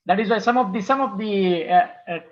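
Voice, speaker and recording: Man, high voice, casual discussion, dull lo-fi video call. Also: brisk. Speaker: workshop participant. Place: online video meeting. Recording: muffled lo-fi video call audio.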